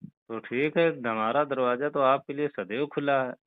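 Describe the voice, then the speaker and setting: A man's voice: mid-range voice, steady tone, a little slow, clean tone, no room sound, close to an everyday voice. A man playing a flirtatious boss in a phone conversation, coaxing and often checking that he is being followed. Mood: coaxing, flirty. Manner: coaxing, repeatedly checks for agreement. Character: flirtatious male boss coaxing a former employee back. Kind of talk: staged phone call conversation. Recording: tinny phone line.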